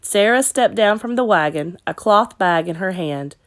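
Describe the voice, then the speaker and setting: A woman teacher narrating a children's story in an expressive read-aloud style. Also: medium pitch, normal speed.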